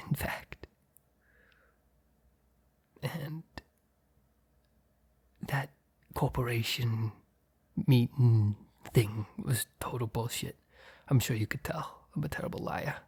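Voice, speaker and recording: A man playing a mob boss boyfriend, blunt yet flustered, in an intimate ASMR roleplay with a breathy, halting delivery. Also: mid-range voice. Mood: confessional, cold. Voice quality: breathy, husky, often near whisper. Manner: halting, sighing, blunt, swearing.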